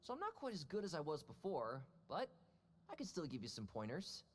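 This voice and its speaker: A woman voicing a quietly confident young female assassin in a video game, with a measured, dry manner. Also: low-pitched, wildly swooping pitch, slight background noise. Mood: knowing. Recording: game audio with music behind. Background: game music.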